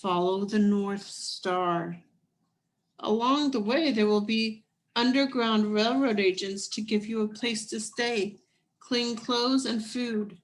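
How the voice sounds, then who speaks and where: Storybook guide, female, acted by a woman reader: solemn, motherly, ghostly, slow, measured urgent instruction, slightly high voice. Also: low-quality recording. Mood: solemn warning. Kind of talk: children's storybook reading.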